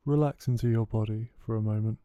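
A man leading a guided meditation, giving soothing, unhurried instructions with gentle pauses. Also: on the low side, steady tone, clear, resonant tone, no echo, highs cut off. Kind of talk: guided body scan meditation.

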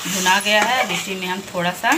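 A woman's voice: medium pitch, fairly even intonation, a little fast. A woman hosting a home cooking channel, explaining each step of a recipe in a patient, instructive voice-over. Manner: patient, repeats "dekhiye" to point things out. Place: home kitchen over a frying pan. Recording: loud frying noise under the voice. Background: loud sizzling and stirring of a pan.

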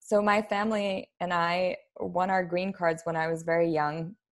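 A woman's voice: fairly low pitch, little pitch movement, moderate pace. A woman in her thirties from Poland, talking informally and ramblingly as an interview guest on a podcast. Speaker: podcast guest, human design expert. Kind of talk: casual interview chat. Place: plain room at home, on a video call.

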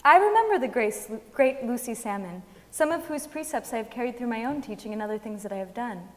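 Young female stage reader, fairly high voice, scripted dramatic delivery in a reverberant hall. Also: lively intonation, a little fast. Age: young adult. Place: large wooden concert hall stage.

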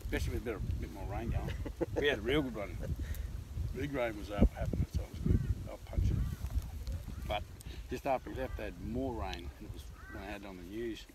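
A man's voice: fairly low pitch, fast talker, pauses often. A middle-aged Australian man in casual, joking chat while tasting beer with mates outdoors, reading can blurbs aloud and swearing now and then. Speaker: pub crawl channel co-host. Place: outdoors in dry bushland. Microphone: camera held at arm's length. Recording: outdoor recording with clear background noise.

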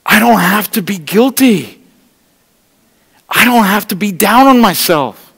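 A man's voice: high-pitched, animated, a little slow, creaky, a bit bright, slightly rough. A middle-aged man preaching a Sunday sermon from a church stage, earnest and pleading, talking straight to his congregation. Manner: walks the stage holding an open Bible. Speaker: pastor. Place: church stage, large room indoors. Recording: long reverb of a church hall.

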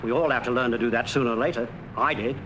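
A man's voice: medium pitch, quick pace, a bit bright, natural voice. An actor playing a friendly, folksy, plain-spoken middle-aged working man in a 1940s film. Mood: earnest. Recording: noisy old 1940s film soundtrack.

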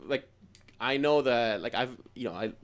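A man's voice: medium pitch, expressive, moderate pace. Male co-host from Colombia, loose chat, packs in fillers and casual curses. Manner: filler-heavy, swears casually. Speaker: podcast co-host.

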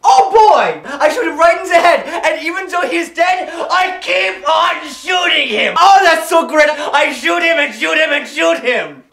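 A performer playing an excitable, boastful, bloodthirsty First World War German fighter pilot in a comedy sketch. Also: mid-range voice, normal speed, roomy sound. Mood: gleeful shouting. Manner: boastful, excitable, storytelling with shouted outbursts.

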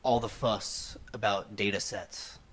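A man hosting a relaxed interview in a living room, talking loosely with many fillers into a handheld mic. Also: on the low side, measured pace. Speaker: host. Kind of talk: casual interview chat.

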